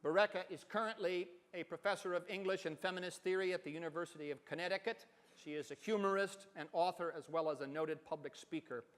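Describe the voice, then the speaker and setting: High-voiced man reading in a reverberant hall. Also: fairly bright tone. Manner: deliberate, dramatic phrasing with emphatic swells. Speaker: professor giving a dramatic reading. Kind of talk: expressive literary stage reading.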